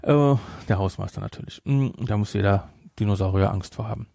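A man's voice: lowish voice, a little fast, gravelly, warm tone, no room sound, clean studio sound. A man with a German accent chatting casually as a podcast co-host, explaining things in a rambling way with frequent self-corrections. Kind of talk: casual film discussion chat.

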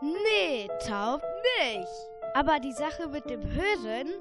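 A woman's voice: high voice, sing-song, slow pace, over music, exaggerated voice. A young female performer playing a grumbling, skeptical worm who scolds, in a children's radio play.

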